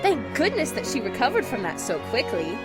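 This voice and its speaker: Woman playing a bubbly high-pitched teenage girl. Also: a little fast. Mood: cheerful. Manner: energetic, folksy, laughs easily. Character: cheerful, breezy, perceptive teenage schoolgirl. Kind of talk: audio drama character acting. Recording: music behind the voice.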